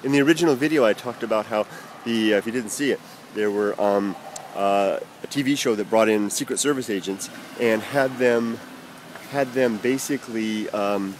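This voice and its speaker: A man from the United States rambling through viewer comments in a casual vlog, halting with many fillers and restarts. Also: on the low side, slight background noise. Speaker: vlogger answering viewer comments.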